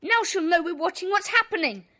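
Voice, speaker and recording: A woman's voice: extremely high pitch, expressive, fairly quick, pushed and bright, exaggerated voice. A woman playing a bossy, indignant schoolboy club leader in a children's audio drama, stern, scolding and self-important.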